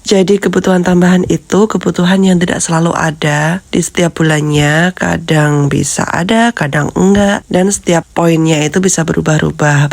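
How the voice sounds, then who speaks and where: A woman vlogger with a hoarse, congested voice from a cold, chatting with viewers as friends and steadily reading out figures. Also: low-pitched, no room sound. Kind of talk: casual budgeting vlog talk.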